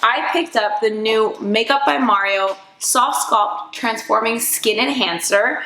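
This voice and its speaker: Bubbly, chatty woman with a raspy voice. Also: on the high side, takes it slowly, bright, forceful tone. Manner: bubbly and chatty, full of fillers. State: raspy voice after just waking up. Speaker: beauty vlogger. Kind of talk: casual makeup review. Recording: echoey room.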